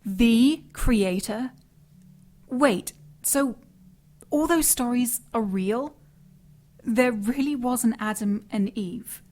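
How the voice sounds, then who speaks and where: Spunky, high-voiced female sidekick. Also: slow pace, long pauses, darkish tone. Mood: curious, surprised. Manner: spunky, questioning, indignant. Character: earnest, spirited female sidekick, easily flustered and indignant. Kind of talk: audio drama acting.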